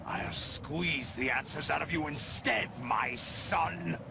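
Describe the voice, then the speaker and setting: An actor playing a commanding middle-aged villain in a video game cutscene, calculating and menacing, with grand, deliberate delivery. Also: male voice, on the high side, vocal fry, noisy background, full character voice. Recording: loud music behind the dialogue.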